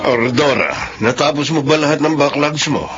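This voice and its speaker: An actor playing a considerate, authoritative company boss in a radio drama, with a coaxing paternal manner. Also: male voice, fairly high pitch, normal speed, over loud music, lightly acted. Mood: supportive. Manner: coaxing, paternal, code-switching into English. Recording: muffled, noisy radio drama recording.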